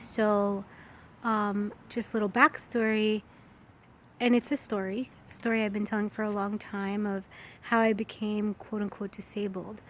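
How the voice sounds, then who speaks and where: A woman's voice: mid-range voice, level tone, moderate pace. A woman in her thirties speaks in a mid-range voice, thinking aloud as she records a personal vlog on her phone. Her delivery is candid, casual and a bit rambling. There is noticeable ambient noise, like vehicles, behind her. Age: 30s. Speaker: vlogger.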